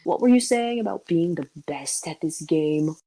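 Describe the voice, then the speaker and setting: A woman's voice: on the low side, expressive, moderate pace, warm tone, no echo, poor audio. A woman voicing a guarded, sarcastic young man with a dry, muttering delivery in a fan fiction audio drama. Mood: dry, teasing.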